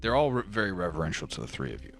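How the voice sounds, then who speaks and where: Male game master, extremely low voice, descriptive but casual fantasy narration over clear music. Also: sing-song, rapid-fire, fairly bright tone. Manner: descriptive storytelling with casual asides.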